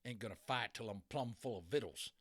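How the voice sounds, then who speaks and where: A man's voice: lowish voice, fairly quick, a bit bright, slightly rough. A man voicing a tiny, grumbling cowboy with a drawling Old West American accent in a dramatic storybook reading. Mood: blustering challenge. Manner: folksy, drawling, combative. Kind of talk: dramatic audiobook character reading.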